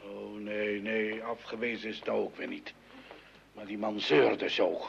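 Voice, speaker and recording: A man's voice: on the low side, fairly even intonation, measured pace, creaky. A man acting a short-tempered, sheepish middle-aged father in a radio play, stammering and repeating himself when flustered. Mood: sheepish, defensive. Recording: dull lo-fi radio recording, faint noise.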